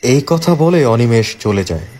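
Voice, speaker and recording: A man narrating a scripted horror story in a measured way, with dramatic pauses. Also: medium pitch, big pitch swings, darkish tone.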